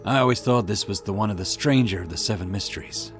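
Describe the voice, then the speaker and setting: Man acting police detective boss, low voice, gruff, steady, visual novel dialogue, light music behind. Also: quick pace, natural voice. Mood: grave, calm. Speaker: let's player voicing game dialogue.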